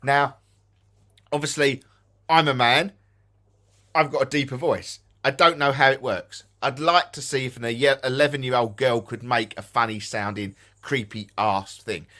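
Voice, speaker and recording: A man's voice: mid-range voice, moderate pace. Emphatic Essex man on a live stream. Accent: Essex English. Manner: animated, emphatic, repeats himself for effect. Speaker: co-host of a ghost hunting live stream. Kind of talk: casual live-stream chat.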